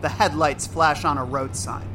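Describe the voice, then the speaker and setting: A man's voice: on the high side, steady tone, moderate pace, background noise. A man narrating a scripted audio drama, reading out the action as a storyteller. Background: music.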